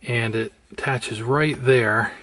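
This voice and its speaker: A man's voice: medium pitch, fairly even intonation, slow pace, a bit bright. A middle-aged man narrating a casual how-to vlog, thinking aloud in a tentative way. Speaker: vlogger. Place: car interior and indoor workbench. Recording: noisy recording with clicking.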